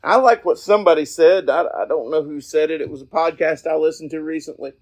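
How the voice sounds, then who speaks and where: A man with a slightly high voice speaks as a podcast host and lay preacher. His delivery is folksy and earnest, and he often halts and picks his way through the thought as he talks outdoors. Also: a little fast. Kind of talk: informal evangelistic talk.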